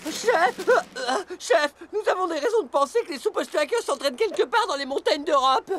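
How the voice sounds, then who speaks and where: High-pitched, nervous military underling. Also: male voice, expressive, fairly quick, fairly bright tone. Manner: deferential, military. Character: eager, nervous subordinate soldier-like football player. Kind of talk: French-dubbed children's cartoon acting.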